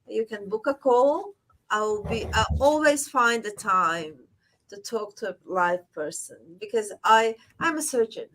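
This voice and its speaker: A woman from Latvia, a surgeon, speaking as an interview guest in a halting way, repeating words as she goes. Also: on the high side, animated, fairly bright tone, poor audio. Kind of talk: interview conversation.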